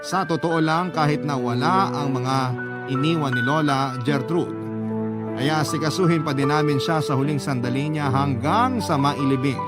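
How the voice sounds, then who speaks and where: A Filipino man with a somewhat high voice narrates a radio drama in the first person. His storytelling is measured and sentimental, delivered over a loud music bed. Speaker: radio drama narrator reading a listener's letter.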